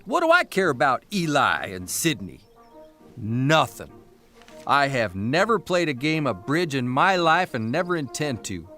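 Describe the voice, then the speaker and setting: An actor playing a weary, wry 1930s American newspaper columnist in an audio drama. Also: male voice, medium pitch, huge pitch swings, unhurried, pushed and bright, soft background music, full character voice. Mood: dry, reading aloud. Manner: exasperated, dry, wry. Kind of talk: naturalistic audio drama acting.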